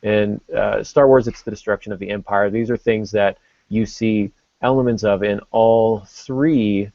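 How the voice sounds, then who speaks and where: A man's voice: lowish voice, steady tone, measured pace, creaky, mellow, no room sound. A man co-hosting a casual podcast discussion, explaining things as he thinks them through. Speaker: podcast co-host. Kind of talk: casual discussion of fiction writing. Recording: dull lo-fi podcast audio.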